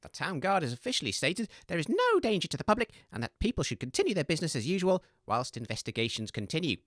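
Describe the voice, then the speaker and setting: A woman's voice: sits low, big pitch swings, fast, no room sound, clean studio sound. A woman playing an earnest, fussy, easily exasperated radio co-host in scripted comedy, hesitant and reproachful. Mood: earnest newsreading.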